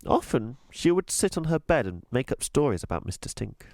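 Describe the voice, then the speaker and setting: A man reading a children's storybook aloud as a teacher would, with expressive, deliberate, emphatic delivery. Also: medium pitch, creaky, no room sound. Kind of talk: scripted storybook narration.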